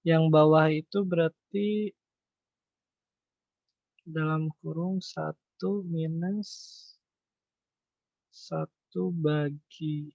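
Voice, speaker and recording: A man with a slightly high voice tutors informally, working through calculations out loud. His delivery is halting, with figures mumbled as he checks with his listeners. The sound is roomy and a bit dull. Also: fairly even intonation, vocal fry. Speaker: accounting tutor. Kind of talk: informal online lesson, thinking aloud through calculations. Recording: echoey room, dull lo-fi online-call audio.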